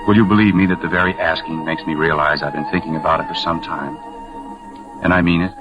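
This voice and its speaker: An actor playing a despondent young veteran in a 1940s radio drama, halting and earnest as he speaks. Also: deep voice, fairly even intonation, natural voice. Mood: earnest pleading. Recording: muffled vintage radio recording with music behind.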